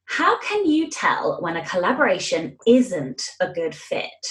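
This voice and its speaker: A woman's voice: mid-range voice, wildly swooping pitch, unhurried, poor audio. A woman hosting a casual interview podcast, speaking in an enthusiastic, agreeable way. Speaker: podcast host.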